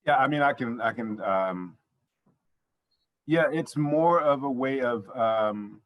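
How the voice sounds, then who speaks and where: A man's voice: mid-range voice, animated, takes it slowly, a bit bright. A man speaking casually as a panel guest, with lots of fillers and loose phrasing. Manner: loose, many fillers like um and you know.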